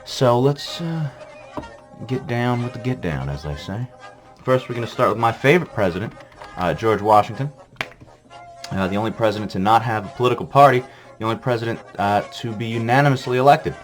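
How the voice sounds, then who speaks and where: A man's voice: mid-range voice, animated, a little fast, faint music behind. A man hosting a comedic history vlog, rambling and swearing through a list of presidents with lots of fillers, wired on coffee. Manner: rambling, profane, full of fillers. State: jittery from coffee. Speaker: vlog host. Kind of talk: irreverent comedic history rundown.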